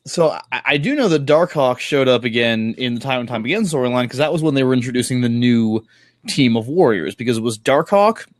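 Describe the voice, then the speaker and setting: A man's voice: mid-range voice, animated, fairly quick, dry recording, studio quality. A man chatting casually and enthusiastically, rambling through recaps in an informal, sweary way. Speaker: podcast host or guest discussing comics.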